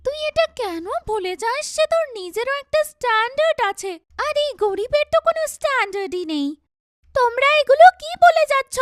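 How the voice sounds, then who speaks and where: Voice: extremely high pitch, fairly bright tone, no room sound, clean studio sound. A performer voicing an earnest, chatty young schoolgirl in a children's cartoon, with exaggerated, emphatic childlike delivery. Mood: scornful, then indignant.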